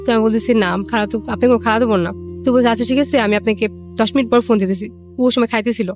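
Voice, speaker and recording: A young Bangladeshi woman tells a personal story in a slightly high voice. She talks quickly and colloquially, relaying remembered dialogue line by line. Faint music sits behind her. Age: young adult. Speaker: guest telling own life story.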